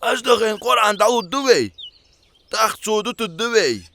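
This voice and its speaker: Excitable cartoon male, played by a man: very high, creaky, swooping delivery. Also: a little fast, full character voice. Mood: animated. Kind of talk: animated cartoon dubbing.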